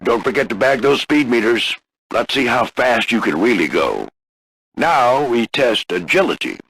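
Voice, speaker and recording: An older American man voicing a gruff veteran warplane flight instructor in a video game, husky and folksy, coaching firmly but with encouragement. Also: mid-range voice, very animated, normal speed, a bit bright, dry recording, full character voice, rough recording. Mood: stern instructing. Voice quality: gravelly, husky. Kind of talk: scripted video game character lines.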